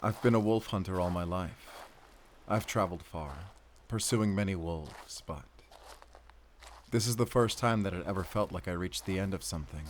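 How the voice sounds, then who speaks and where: Audio roleplay lead: male actor as seasoned, tired wolf hunter, low register, hushed, reflective, compassionate. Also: normal speed, slight background noise, natural voice. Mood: weary, bittersweet. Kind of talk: cinematic audio roleplay acting.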